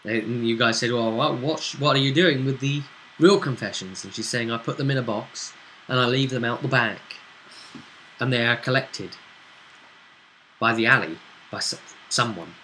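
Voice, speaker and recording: A man acting as game master, recapping a tabletop role-play session in a casual, halting storytelling way. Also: mid-range voice, bright, forceful tone, a little hiss or hum, rough recording.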